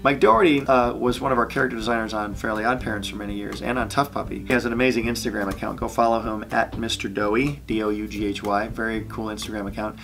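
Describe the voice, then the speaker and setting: A man's voice: fairly low pitch, fairly even intonation, fast talker, gravelly, hardly any pauses, fairly bright tone, a little hiss or hum. A man talking casually and enthusiastically, like an animation creator telling work stories on his vlog. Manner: enthusiastic, chatty. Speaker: animation creator and video host.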